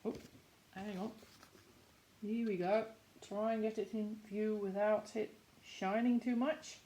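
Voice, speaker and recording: A woman reading a picture book aloud to young children in an expressive way, with chatty explaining asides. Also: mid-range voice, slow, a little hiss or hum, poor audio.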